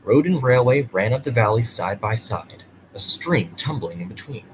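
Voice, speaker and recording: A man's voice: mid-range voice, lively intonation, normal speed, noisy background. A man hosting and reading a children's bedtime story aloud. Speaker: storyteller and host.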